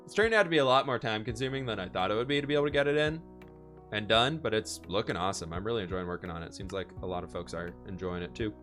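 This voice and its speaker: Chatty streamer, a bit hoarse. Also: male voice, fairly low pitch, lively intonation, racing, fairly bright tone, soft background music. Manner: upbeat, chatty, reads and answers chat. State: a little hoarse. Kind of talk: casual live-stream chat while building.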